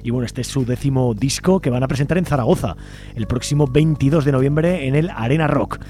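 A man's voice: mid-range voice, a little fast, warm tone, slight background noise. A man with an accent from Spain, hosting a radio show in an informal, colloquial way. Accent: Spanish from Spain. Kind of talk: casual radio interview and presenting.

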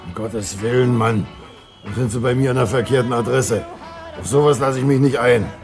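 An actor playing a rough ex-boxer thug in a radio crime play, coarse and slangy. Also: male voice, medium pitch, normal speed, over music, lightly acted. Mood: coarse, wary. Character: rough male ex-boxer thug in a seedy pub.

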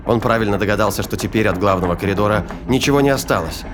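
A man's voice: on the low side, fast, over music. A man narrating a science fiction audio drama in a scripted storytelling style. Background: ambience and sound effects.

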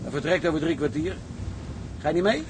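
Male actor as hearty ship's mate, slightly high voice, period sea drama, clear background noise. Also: fairly quick, natural voice. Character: hearty, plain-spoken seaman, respectful mate to his skipper. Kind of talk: Dutch period maritime drama acting. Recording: clearly noisy background ambience.

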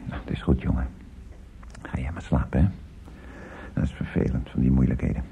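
An actor playing a weary, grieving old father in a radio drama, muttering haltingly and repeating himself with gentle bewilderment. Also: very deep voice, flat delivery, vocal fry, heavily acted. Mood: bewildered grief. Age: older. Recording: muffled phone-like band, noisy old radio recording.

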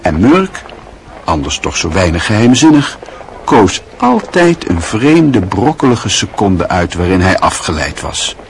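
A man narrating a radio play in a measured, wistful literary style. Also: lowish voice, sing-song, a little hiss or hum.

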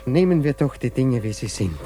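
An actor in a German radio drama playing a courtly, smug, insistent suitor with a formal, halting manner. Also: male voice, mid-range voice, big pitch swings, background noise, natural voice. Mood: pleading, insistent.

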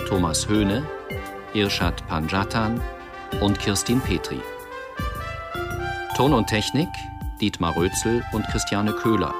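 A man reading scripted radio play credits as an announcer. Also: on the low side. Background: loud music.

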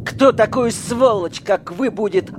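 A man's voice: high-pitched, a little slow, noisy background. A man playing a scornful, menacing Soviet wartime soldier who rounds up deserters in an audio drama. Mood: angry, contemptuous. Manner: barking, menacing, jeering. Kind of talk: Russian audio drama acting.

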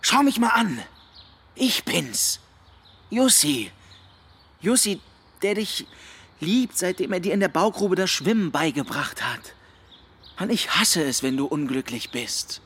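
A man's voice: high voice, big pitch swings, slow, long pauses, a bit bright, breathy edge, full character voice. An actor playing a cocky, chummy young man, a teasing and slangy dreamer with theatrical flair, in a radio drama. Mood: coaxing. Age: young adult. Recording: noisy ambience behind the voice.

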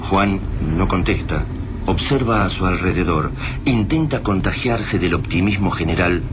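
An actor with an Argentine accent narrating a radio drama war epic in a grave, literary manner. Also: male voice, expressive, normal speed. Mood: grave, somber. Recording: noisy rumbling battle ambience behind the voice.

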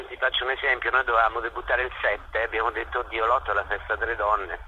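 A man's voice: medium pitch, steady tone, fast talker, bright, forceful tone, highs cut off, a little hiss or hum. A middle-aged man, talking conversationally in an interview and telling stories with rhetorical questions and acted-out quotes. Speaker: interviewed theatre actor and director.

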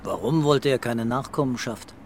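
An actor playing a laconic, patient male police commissioner putting unhurried questions in a radio drama. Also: mid-range voice, a little hiss or hum, natural voice. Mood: calm, probing.

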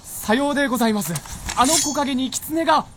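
An actor playing a boisterous castle gate guard who turns servile before his lord, excitable, in a radio drama over noisy effects. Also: very high voice, a little fast. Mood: nervous, pleading. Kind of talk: Japanese radio drama acting.

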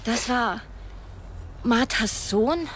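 An agitated, inquisitive woman in a radio drama. Her fairly high voice presses with hesitant urgency, over faint outdoor vehicle noise. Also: lively intonation, extremely slow, a bit bright, exaggerated voice. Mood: agitated, questioning.

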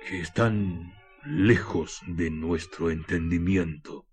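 An actor playing a grave, pious priest in a radio drama, solemn and measured. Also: male voice, sits low, fairly even intonation, a little mellow, faint music behind. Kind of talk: slow radio drama acting.

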